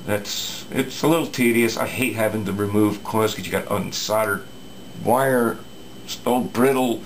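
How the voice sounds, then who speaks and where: A man's voice: fairly low pitch, a little slow, slight background noise, poor audio. A man talking casually at his workbench, explaining a radio repair with many fillers and restarts.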